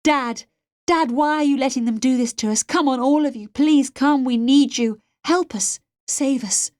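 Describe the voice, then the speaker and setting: Woman acting desperate young girl, high-pitched and pleading. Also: normal speed, darkish tone, no echo, studio quality, close to an everyday voice. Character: defiant, frightened young girl held captive. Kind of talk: audiobook character voice.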